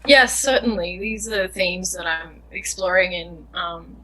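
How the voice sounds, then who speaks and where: A woman's voice: fairly low pitch, unhurried, sharp tone, slightly rough, low-quality recording. A young woman filmmaker answering interview questions in an earnest, thoughtful way, often searching for words. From Australia.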